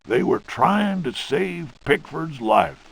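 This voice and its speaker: An actor playing a man recounting a battle from his journal in dramatic narration, with a raspy, creaky voice. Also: on the high side, expressive, takes it slowly, a bit bright, full character voice. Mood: grave, haunted.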